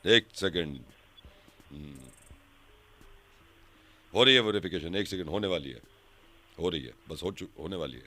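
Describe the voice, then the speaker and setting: A man's voice: low-pitched, expressive, moderate pace, long pauses, bright, forceful tone, full character voice. A man on a prank call playing a stern police verification officer, curt and repetitive as he questions and stalls. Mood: stalling, curt. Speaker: prank caller, radio show host. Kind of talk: prank phone call in character.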